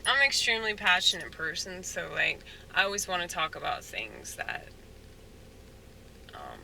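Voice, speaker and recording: A man rambling in a soft-spoken, halting way, full of fillers, as a stand-up comedian musing aloud. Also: sits high, huge pitch swings, gravelly, slight background noise, poor audio. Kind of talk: rambling casual monologue.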